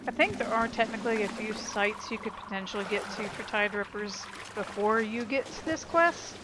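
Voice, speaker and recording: A woman giving casual, relaxed game walkthrough commentary, chatting and musing aloud as she plays. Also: medium pitch, normal speed, very noisy. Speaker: gaming video host. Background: game music.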